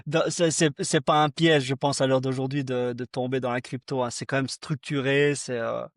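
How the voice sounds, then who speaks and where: A man's voice: mid-range voice, level tone, moderate pace, no echo, clean studio sound. A man hosting a podcast as a casual chat, affirming his guest and often repeating short phrases. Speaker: podcast host.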